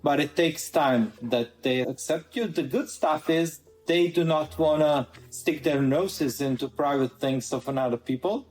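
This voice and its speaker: A man's voice: a little high, a little slow, few pauses, darkish tone, roomy sound, soft background music, poor audio. A man from Croatia with a Croatian accent, a guest in a conversational interview, earnestly laying out his points in order.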